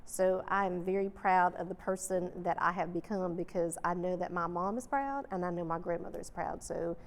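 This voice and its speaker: A woman's voice: fairly low pitch, brisk. A woman giving reflective, conversational answers as an interviewee, choosing her words with some hesitation. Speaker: interviewee, civic leader. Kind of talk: reflective casual panel answers. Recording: echoey hall with faint noise.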